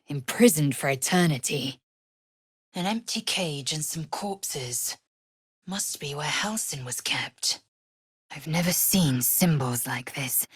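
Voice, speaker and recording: A proud, fierce alien warrior woman from a fantasy video game. Her voice sits on the low side and swings widely in pitch. She speaks in clipped, formal phrases, haughty and scornful, with a husky grain. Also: dry recording, studio quality, heavily acted. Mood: haughty, curt, then low, menacing. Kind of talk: video game character voice lines.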